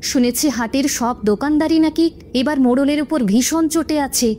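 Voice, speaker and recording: A woman's voice: high voice, fairly quick, mellow, a little hiss or hum, natural voice. A woman playing an indignant, emphatic village woman in a cartoon story. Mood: defiant, urging. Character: indignant village woman urging neighbours to resist injustice. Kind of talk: Bengali cartoon story dialogue.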